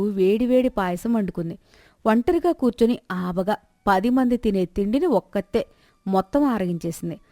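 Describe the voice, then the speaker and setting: A woman's voice: medium pitch, dark tone, no echo, studio quality. Woman narrating a scripted moral story.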